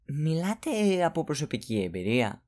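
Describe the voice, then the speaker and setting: A woman voices a naive male underling in an audio drama, low in register with very lively intonation. He is fawning and deferential, quick to be led. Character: fawning, gullible young male assistant to a villainess. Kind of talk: Greek audio drama acting.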